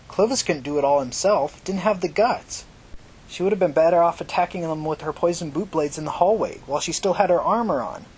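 Male fiction narrator, faintly noisy recording. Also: darkish tone. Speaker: audiobook narrator. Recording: noisy recording with low rumble.

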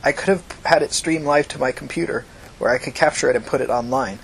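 A man's voice: on the high side, fast, gravelly, a little hiss or hum, low-quality recording. A man voicing a cowed, evasive male hacker, hesitant and whiny, in an audiobook story. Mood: hesitant, explaining.

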